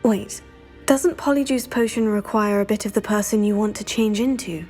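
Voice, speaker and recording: A woman's voice: mid-range voice, normal speed, a little mellow, faint music behind, natural voice. An actress playing an earnest, curious young student witch, in a video game cutscene.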